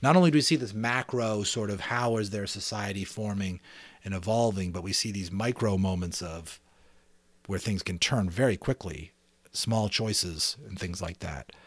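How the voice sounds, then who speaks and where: A man reading a novel dramatically as an amateur narrator and host, expressive and reflective. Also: on the low side, moderate pace, breathy edge, no room sound. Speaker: amateur audiobook reader and channel host. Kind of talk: dramatic narration with casual commentary.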